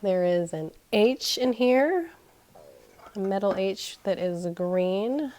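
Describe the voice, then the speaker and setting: A woman's voice: medium pitch, expressive, slow pace, warm tone. A woman in her thirties, nasal and crackly as she recovers from being sick, chatting casually while unboxing at home. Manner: chatty, thinking aloud, self-deprecating. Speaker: unboxing vlogger. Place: indoors at home, carpeted floor. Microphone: camera pointed down at items, speaker off-screen.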